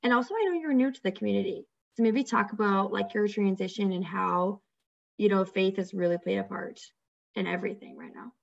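Woman interviewer with lots of vocal fry, chatty questions, bit muffled lo-fi audio. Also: mid-range voice, brisk. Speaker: interviewer, host.

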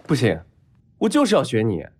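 Man acting as spoiled rich young heir, theatrical and whiny, husky voice, wildly swinging intonation, audio drama. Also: medium pitch, wildly swooping pitch, brisk. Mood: stubborn, sulky.

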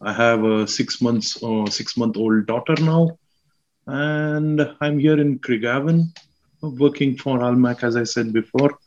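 A man from South India with a South Indian accent, a guest scientist explaining slowly with many fillers and restarts. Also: mid-range voice, muffled recording, slight background noise. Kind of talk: explaining science in a casual chat.

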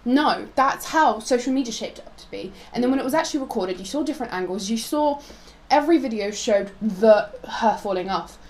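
A woman's voice: fairly high pitch, animated, brisk. A young woman from Poland in a casual, opinionated discussion of current affairs, talking informally and rambling a little. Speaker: co-host. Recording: noticeable background noise.

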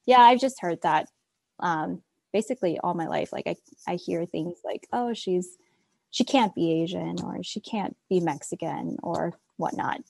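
Young woman from Minneapolis, candid, low voice, gravelly fry, dry close sound. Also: unhurried, a little mellow, no room sound. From Minneapolis, USA. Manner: candid, recounts quoted remarks. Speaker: interviewee. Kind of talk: personal account.

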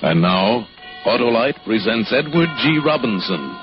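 A man's voice: medium pitch, sing-song, a little slow. A man introducing a program as a dramatic 1940s radio announcer. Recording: muffled old radio, loud music behind.

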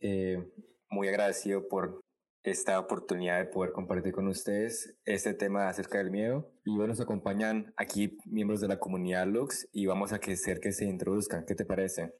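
A man co-hosting a podcast, introducing guests and asking questions with frequent pauses and fillers. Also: deep voice, little pitch movement, a little mellow. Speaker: podcast co-host. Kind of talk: casual podcast chat.

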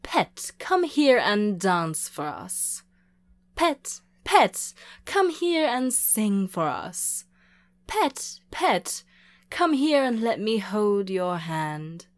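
A woman voicing a vain, imperious countess in a gothic horror story, with grand, commanding, drawn-out calls. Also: mid-range voice, very animated, pitched above the performer's own voice. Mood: coaxing, commanding. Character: imperious vain countess, doting on her pet.

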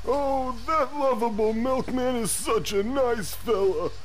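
A man's voice: very high voice, slow, over music, low-quality recording. An actor playing a doddering, rambling old man lost in bygone days in a comedic audio drama. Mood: nostalgic, chatty. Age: older.

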